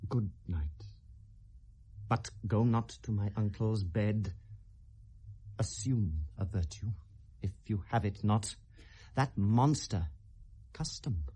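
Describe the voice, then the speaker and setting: An actor playing an anguished, accusing young prince in 1970s British classical radio drama, declaiming verse in a Received Pronunciation accent with feverish intensity. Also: male voice, lowish voice, mellow, slightly rough. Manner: declamatory, impassioned, theatrical.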